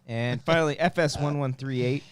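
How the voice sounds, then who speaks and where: A man's voice: mid-range voice, moderate pace. A man hosting a podcast, chatting casually and reading out names and thanks to supporters. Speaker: podcast host.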